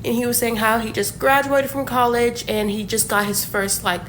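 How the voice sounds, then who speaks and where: A woman's voice: fairly high pitch, fairly even intonation, moderate pace, a bit bright, slight background noise, poor audio. A woman in her twenties telling a dating story in a casual, rambling way, full of fillers and asides. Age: 20s. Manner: chatty, many fillers and asides.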